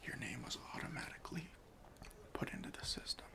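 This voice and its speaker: A man whispering an ASMR roleplay as a deadpan airport security officer, breathy and deliberate, with pauses. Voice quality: breathy whisper with some fry. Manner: slow, hushed, deliberate, with pauses.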